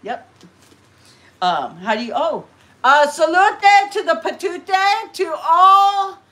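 A woman's voice: very high voice, expressive, slow pace, sharp tone. A middle-aged woman hosting a casual livestream from her living room, chatty and rambling, often laughing. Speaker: livestream host. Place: living room, green party decorations.

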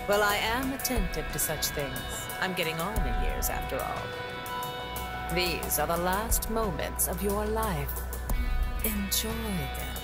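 An ageing, menacing anime game villainess, aloof and mocking. She draws her words out slowly with lively, taunting swoops, over prominent music. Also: on the low side. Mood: cool, taunting. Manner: languid, taunting, coolly amused.

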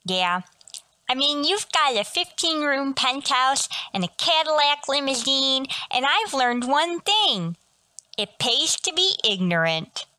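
This is a precocious young boy acted by a woman in an old-time radio comedy. He is literal-minded and speaks formally in a bright, very lively voice with a dry, close sound. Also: high-pitched, slow, dry recording, full character voice. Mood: matter-of-fact. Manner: pedantic, formal.

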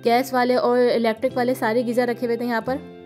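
A woman with a Pakistani Urdu accent, narrating a store-tour vlog and listing prices in a steady, informative voice. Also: fairly high pitch, a little fast. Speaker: shopping vlogger. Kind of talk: vlog voice-over. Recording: voice-over with faint music behind.

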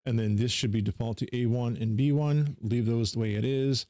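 A man with a mid-pitched voice walks through setup steps like a casual tutorial host. His delivery is informal and instructional, moving step by step. The sound is dry and close to the microphone. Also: steady tone, moderate pace, dark tone, dry recording. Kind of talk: casual unscripted screen-share software walkthrough.

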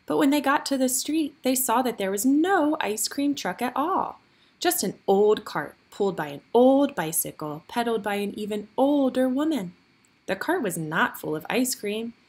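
A woman reading a picture book aloud for young children, with expressive, deliberate storytelling phrasing. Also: a little high, husky.

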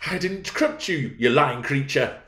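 A man voicing a spoiled, wilful young gentlewoman with a fiery temper, in an audiobook reading of a classic novel. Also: mid-range voice, lively intonation, a little slow, roomy sound, voice pushed up. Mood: angry, commanding.

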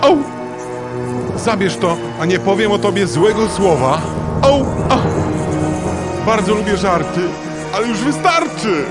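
A high-pitched, whining game villain, begs in a slow, drawn-out way. He yelps and pleads like a tormented wretch, with music clearly behind him. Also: lively intonation. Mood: desperate pleading. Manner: pleading, whiny, yelping. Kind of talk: video game cutscene acting.

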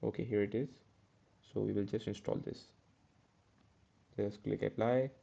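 A man's voice: fairly low pitch, steady tone, a little slow, creaky, dark tone, highs cut off. A man teaching a step-by-step coding tutorial over a screen recording, correcting himself as he goes. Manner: step-by-step, self-correcting with "uh" and "okay". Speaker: programming tutor.

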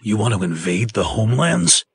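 A man's voice: fairly low pitch, very animated, moderate pace, gravelly, slightly rough, no echo, full character voice. A man voicing an agreeable, plain-spoken fairy-tale craftsman in a comic dub, earnest and deferential. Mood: surprised.

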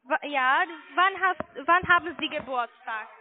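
High-voiced woman on a tinny phone line. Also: expressive. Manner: halting, apologetic. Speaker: call center agent. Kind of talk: halting sales phone call.

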